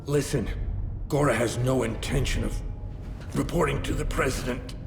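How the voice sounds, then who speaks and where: A man playing a wounded, grave middle-aged military commander in a video game, gravelly and deliberate, with emphatic dramatic pauses. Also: medium pitch, fairly even intonation, natural voice. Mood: weary, warning. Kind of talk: cinematic video game acting. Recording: echoey reverberant hall, music underneath.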